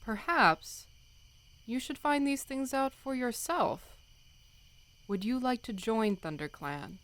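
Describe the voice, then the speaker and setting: Stern she-cat clan leader played by a woman, solemn and commanding, measured delivery for an animal audio drama. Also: fairly high pitch, lively intonation, fairly bright tone. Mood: inviting. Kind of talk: audiobook fandub acting.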